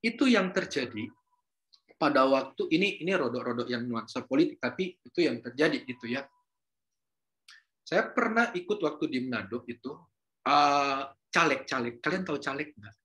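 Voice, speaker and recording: Chatty lecturer, bright voice. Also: male voice, mid-range voice, lively intonation, normal speed. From North Sulawesi, Indonesia. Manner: casual, chatty, mixes in Javanese and English words, asks students questions. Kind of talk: university lecture.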